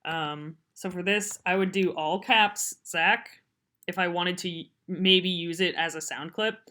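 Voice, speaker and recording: Low-pitched woman giving a casual tutorial. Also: unhurried, sharp tone. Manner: rambling, informal, self-deprecating. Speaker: podcast editor giving a software tutorial. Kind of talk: casual unscripted screen-recording tutorial. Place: voice-over on a computer screen recording.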